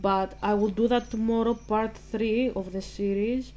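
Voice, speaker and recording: A woman with a Greek accent talking casually to camera, pausing and restarting as she translates her thoughts into English. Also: on the high side, fairly even intonation, a little mellow, dull audio, a little hiss or hum. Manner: halting, restarts while translating in her head. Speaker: channel host. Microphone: camera mic.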